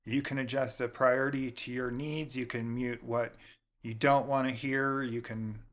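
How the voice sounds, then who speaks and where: Guy doing a casual how-to, medium-pitched voice, talks you thru it step by step. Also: level tone, normal speed, a bit bright. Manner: informal, step-by-step. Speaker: tutorial host. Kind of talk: casual software tutorial narration.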